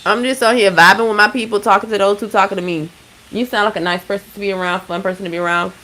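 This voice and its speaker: Bright-voiced woman in her thirties, chatty. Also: medium pitch, steady tone, quick pace, low-quality recording. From Georgia, USA. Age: 30s. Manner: chatty, laid-back, swears casually, gives shout-outs to viewers. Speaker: livestream host. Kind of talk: casual livestream chat with viewers.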